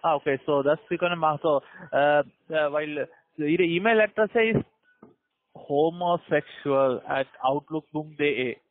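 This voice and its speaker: A man with a foreign accent and a fairly high voice. He makes a halting sales pitch in broken grammar, heard over a tinny phone line. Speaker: telemarketer.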